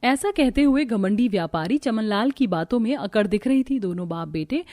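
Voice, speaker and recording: A woman's voice: on the high side, animated, normal speed, darkish tone, soft background music. A woman narrating a scripted cartoon village family story.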